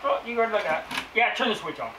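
A middle-aged man chats plainly to his viewers as he works in a cabin doorway, his voice a touch high for a man. He is picked up by a camera across an echoey wooden room. Loud outdoor noise runs behind him. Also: animated, fast talker. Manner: plain-spoken, thinking aloud while measuring. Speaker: homestead vlogger. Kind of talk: casual talk to camera while working.

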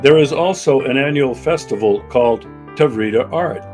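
Man narrating over loud music. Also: medium pitch, normal speed. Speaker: narrator and interviewer. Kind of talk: documentary travel narration.

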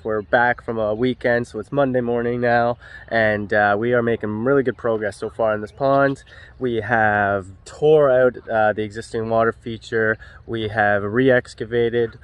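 Smooth-voiced young man giving a job-site walkthrough. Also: medium pitch, no room sound. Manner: relaxed, explanatory, frequent "uh" fillers. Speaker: pond builder. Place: outdoor backyard construction site. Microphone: handheld wide-angle camera at arm's length.

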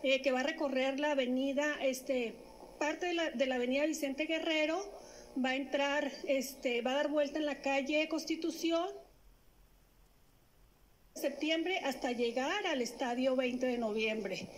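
A middle-aged woman, a city official, announcing an event at a press conference into a stand microphone, speaking haltingly with many fillers and restarts. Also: high-pitched, fairly even intonation, slight background noise, rough recording. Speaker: municipal government official. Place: indoor press room.

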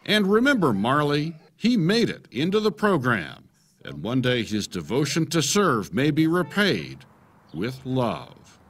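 A man's voice: medium pitch, wildly swooping pitch, measured pace, fairly bright tone, breathy edge. An American man in his sixties narrating a scripted television news feature as a correspondent.

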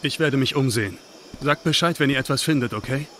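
An actor plays a young man in a narrative video game, a practical and caring brother under worry. His voice sits at a middle pitch and sounds natural and earnest, with faint night insects behind. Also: a little fast, a bit bright, slight background noise, natural voice. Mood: reassuring. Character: Gabe, caring, worried older brother, practical and reassuring. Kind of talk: dubbed video game acting.

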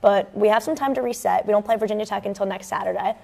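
A young woman with an American accent talks sports as a co-host at a studio desk. She speaks quickly, at an ordinary middle pitch, in a conversational commentary style. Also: vocal fry, darkish tone. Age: young adult, early 20s. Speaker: student sports show co-host. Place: indoor campus TV studio at a desk. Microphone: lapel mic.

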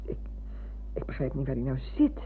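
A woman playing a chatty, motherly, bossy old schoolmistress in a radio drama, with a husky voice and a habit of repeating herself. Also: a bass voice, big pitch swings, normal speed, a little hiss or hum. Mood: wistful, chatty. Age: older. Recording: muffled, narrow-band old radio recording.

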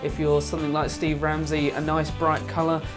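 Young guy calmly explaining his woodworking steps, music going behind him. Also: fairly high pitch, moderate pace. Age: young adult. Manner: calm, explains each step plainly. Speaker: woodworking channel host. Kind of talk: instructional voice-over narration. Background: background music.

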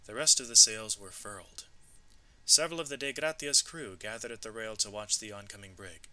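A man narrating an educational storybook read-aloud, with expressive, clearly emphasised delivery. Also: lowish voice, a little fast.

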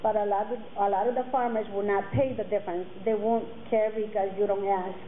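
Woman in her forties, native Mixtec speaker, earnest halting talk, tinny narrow sound, faint hiss. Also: mid-range voice, fairly even intonation. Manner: earnest, halting with many fillers and restarts. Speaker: guest speaker. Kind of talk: personal talk to students. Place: classroom with curtains, indoors. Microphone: table mic on a stand.

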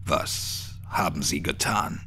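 A man's voice: a bass voice, slow pace, noisy background, pitched below the performer's own voice. A man voicing a shaken, refined man who protests in a formal, subdued way, in a horror audiobook. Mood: shocked.

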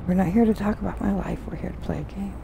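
A middle-aged woman streaming a game from home on a desk mic, talking softly in meandering, complaining asides. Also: mid-range voice, fast, gravelly, slight background noise. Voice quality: soft voice. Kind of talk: rambling casual commentary. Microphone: desk mic with headphones. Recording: loud game music behind voice. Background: game music.

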